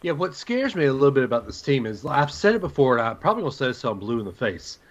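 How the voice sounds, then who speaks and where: Dull lo-fi recording, male sports co-host, mid-pitched voice, conversational opinion talk. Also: very animated, rapid-fire.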